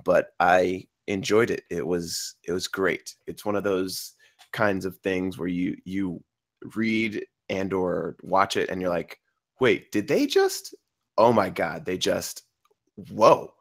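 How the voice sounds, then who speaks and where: Man, deep pitch, casual panelist, joking, informal feedback. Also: slow pace.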